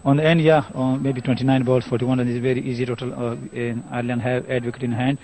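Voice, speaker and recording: An Afghan-accented man speaks in a flat, mellow voice, hesitant and broken in English with many "uh"s. His native Pashto comes out in rapid bursts. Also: mid-range voice, slight background noise. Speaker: winning team captain. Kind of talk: post-match interview answers.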